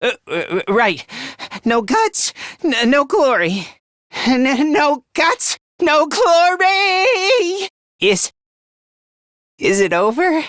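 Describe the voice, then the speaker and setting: High, timid, self-loathing young fighter. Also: male voice, very animated, takes it slowly, long pauses, fairly bright tone, dry recording, clean studio sound, heavily acted. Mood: panicked, stammering. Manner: stammering, hesitant, self-deprecating. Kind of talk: video game voice acting.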